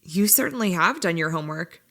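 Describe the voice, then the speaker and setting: An actress plays a local newspaper reporter in an audio drama. The woman's voice is bright and forceful. She comes across as keen and suspicious. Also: fairly low pitch, lively intonation, a little fast, heavily acted. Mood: impressed.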